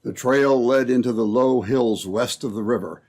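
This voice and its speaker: A man's voice: medium pitch, moderate pace, a little mellow. A man narrating an old-time radio adventure from a script in storytelling style.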